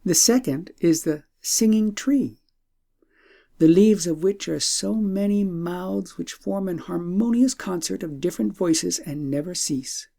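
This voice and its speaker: Man voicing a devout old woman, mellow and creaky. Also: high-pitched. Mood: courteous, marvelling. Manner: formal, deliberate, ornate speech. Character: devout old woman, courteous, knowing, flowery-tongued.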